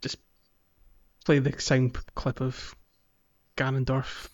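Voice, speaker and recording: A man's voice: mid-range voice, monotone, takes it slowly, no room sound, dull audio. A man co-hosting a podcast in a casual chat about a video game. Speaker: podcast co-host.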